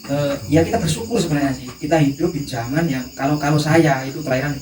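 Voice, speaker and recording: Casting: man, 30s, Javanese accent, lightish higher voice, hesitant panel guest, recorded from afar amid clear noise. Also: fast talker. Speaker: discussion guest. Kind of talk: informal panel talk. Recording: distant, echoey, noisy recording with insects.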